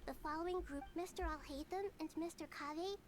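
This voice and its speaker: A woman's voice: extremely high pitch, brisk, a little hiss or hum. A woman voicing a small, earnest young female leader with a childlike voice in a video game. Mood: earnest, composed.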